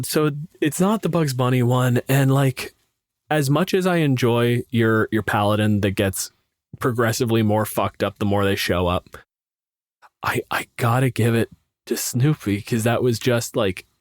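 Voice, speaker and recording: A man's voice: medium pitch, normal speed, dry recording. A man with a mid-range voice chats casually as a podcast co-host. He explains things wryly and swears freely. The sound is dry and close. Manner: wry, profane, explanatory. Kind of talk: casual chat.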